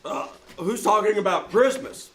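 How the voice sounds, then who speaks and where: A man playing an excitable, easily exasperated newspaper editor with a stammering, emphatic 1940s radio comedy delivery. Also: high voice, moderate pace. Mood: fussy, insistent.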